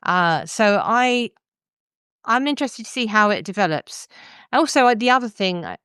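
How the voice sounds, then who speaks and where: A woman's voice: medium pitch, lively intonation, normal speed, fairly bright tone, clean tone, dry recording, studio quality. A woman hosting a podcast, discussing books in an articulate, explanatory way. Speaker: podcast host. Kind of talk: thoughtful podcast book discussion.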